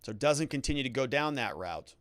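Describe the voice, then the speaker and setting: A man's voice: medium pitch, animated, brisk, fairly bright tone, dry recording. A man hosting a legal commentary show, recapping a trial and reading quotes aloud with emphatic, theatrical stresses.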